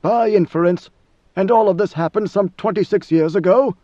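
A man voicing a cold, commanding ancient ruler in a measured, lofty way. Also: sits high, dark tone, no echo, dull audio. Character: ancient imperious male god-emperor, coldly commanding.

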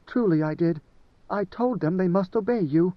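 Man doing a soft earnest young woman, kind, raised pitch, dry muffled recording. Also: moderate pace, no room sound, close to an everyday voice. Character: sweet gentle young woman, sincere and kind. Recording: dry, dull lo-fi sound.

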